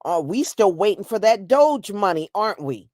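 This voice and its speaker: A woman's voice: mid-range voice, very animated, normal speed, darkish tone, no echo, studio quality. A woman with an American accent giving casual, emphatic, folksy opinion commentary as a live stream host.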